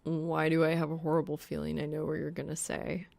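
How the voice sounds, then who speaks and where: A woman acts out a sarcastic young male gamer for an audiobook. He grumbles in a low, gravelly, deadpan voice, sounding grumpy and put-upon. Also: brisk. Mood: wary.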